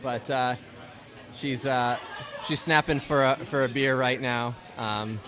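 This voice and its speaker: A man's voice: mid-range voice, fairly even intonation, slow, a bit bright. A man from Ontario hosting a podcast, chatting casually and rambling with lots of restarts and "right?" tags. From Ontario, Canada. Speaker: podcast host. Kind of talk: casual conversational interview.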